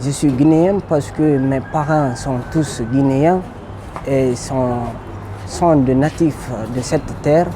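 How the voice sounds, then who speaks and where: A man's voice: medium pitch, steady tone, slow, background noise. A man from Guinea with a Guinean French accent giving earnest, direct answers in a street interview.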